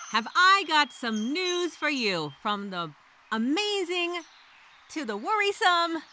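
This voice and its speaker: A woman's voice: a little high, sharp tone, slight background noise. A woman voicing an anxious, rambling castaway who narrates a story as a dramatic, theatrical first-person journal. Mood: excited, rambling. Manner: theatrical, overthinking, repeats herself, self-questioning.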